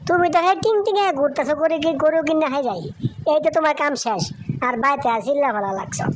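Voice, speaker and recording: Woman acting village wife, cartoon story, very emphatic, high-pitched, sharp-tongued, rural Bangladeshi accent, faint ambient noise. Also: lively intonation, fast talker. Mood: scolding.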